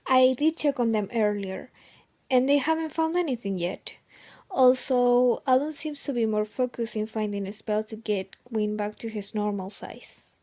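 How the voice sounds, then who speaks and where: A woman's voice: on the high side, normal speed, warm tone, lightly acted. A woman voicing an inquisitive, outspoken team helper in an audio drama. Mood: matter-of-fact. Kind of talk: amateur audio drama acting.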